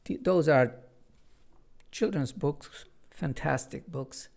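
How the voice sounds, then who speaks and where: A man's voice: fairly high pitch, takes it slowly, gravelly, a little mellow. A man from Bulgaria with a Bulgarian accent, chatting earnestly and then reading his own children's book aloud. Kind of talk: chatty intro, then storybook read-aloud.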